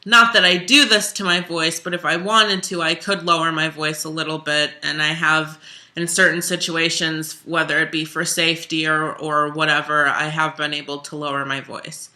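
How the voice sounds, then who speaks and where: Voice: mid-range voice, normal speed. A young adult singer in their twenties, talking openly and reflectively in a casual self-interview. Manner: open and expressive, with frequent restarts and fillers. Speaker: singer and musical theater major talking about their own transition.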